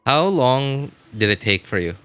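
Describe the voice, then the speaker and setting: A man's voice: mid-range voice, sing-song, unhurried, sharp tone, clean tone, soft background music. A man live-streaming a game with casual commentary, chatting to viewers in a rambling way, often repeating and rephrasing himself.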